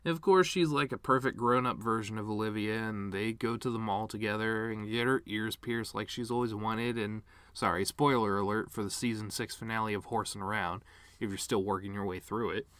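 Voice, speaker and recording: A man's voice: lowish voice, fairly even intonation, fairly quick, fairly bright tone, clean tone, dry recording, close to an everyday voice. A man performing a dramatic monologue as a bitter, grieving middle-aged cartoon horse-man, sardonic, rambling and wounded. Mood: wry storytelling. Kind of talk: dramatic reading of a eulogy monologue.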